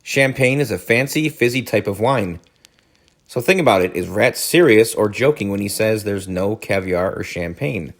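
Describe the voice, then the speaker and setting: A man's voice: on the low side, animated, moderate pace. A man reading a story aloud as a teacher, adding informal explanatory asides.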